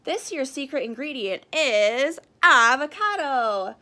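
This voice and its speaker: A woman's voice: high-pitched, very animated, slow pace, bright, forceful tone, heavily acted. A woman voicing a showy, theatrical kitchen director who announces a contest emphatically in a storybook. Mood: loud, excited.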